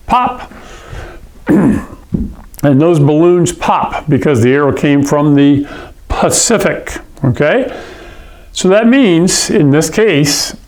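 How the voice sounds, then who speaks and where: A man's voice: on the high side, darkish tone. A man from Hawaii teaching a science lesson, explaining patiently and often checking in with "okay?" and "all right?". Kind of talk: classroom lecture.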